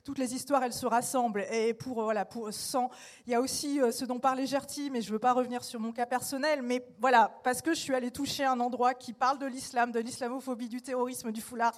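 A woman's voice: fairly high pitch, steady tone, brisk. A woman artist speaking on a panel discussion, hesitant with many fillers but emphatic in her points.

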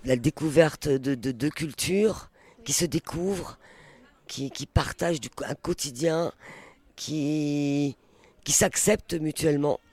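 A man's voice: a little high, slow, darkish tone, breathy edge. A man interviewing in a conversational way, tentative and repeating words as he phrases his questions.

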